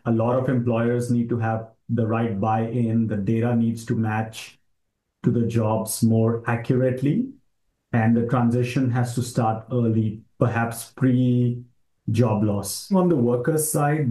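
A male industry commentator giving a news soundbite, deliberate, with pauses and fillers. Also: mid-range voice, warm tone. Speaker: industry watcher. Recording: roomy, echoey sound.

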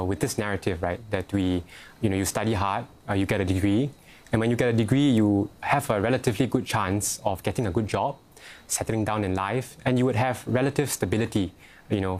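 Young singaporean guy in a news interview, lowish voice, lots of um and you know. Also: darkish tone. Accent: Singaporean English. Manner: hesitant, many fillers and restarts. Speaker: youth organisation head, interviewee.

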